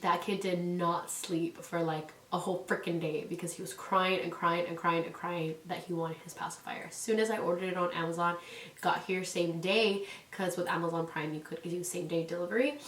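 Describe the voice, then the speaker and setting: A woman's voice: lowish voice, a bit bright, distant mic. A young woman from California vlogging casually about parenting, rambling with lots of "like" and "um," sounding stuffy and congested from a cold. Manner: rambling, many "like"s and "um"s. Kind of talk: casual chatty vlog. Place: indoors at home. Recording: echoey room, away from mic.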